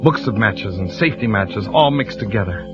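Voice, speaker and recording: An actor playing a suspicious husband who also narrates the tale in a measured, brooding voice, in a 1940s radio drama. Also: male voice, on the low side, lively intonation, lightly acted. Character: suspicious husband, grim first-person narrator. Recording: lo-fi old radio broadcast with music.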